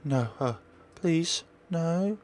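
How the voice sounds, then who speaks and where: A man's voice: a little high, expressive, extremely slow, darkish tone, slight background noise, voice pushed up. Man acting a panicked, pleading trapped young woman. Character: terrified young woman trapped in a haunted mansion.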